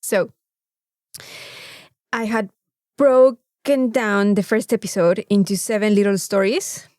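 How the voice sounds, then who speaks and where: A woman with a Mexican accent telling an anecdote in a casual, animated podcast chat, swearing freely. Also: mid-range voice, no room sound, clean studio sound. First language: Spanish. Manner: animated storytelling with frequent swearing. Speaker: podcast co-host.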